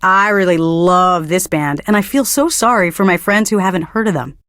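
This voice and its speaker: An actress playing a young, earnest and smugly pretentious hipster DJ in a video game, talking between songs. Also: female voice, lowish voice, moderate pace, fairly bright tone, dry recording, clean studio sound, natural voice. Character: pretentious young hipster indie radio DJ woman, earnest and smug. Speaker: radio DJ. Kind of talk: radio DJ patter between songs.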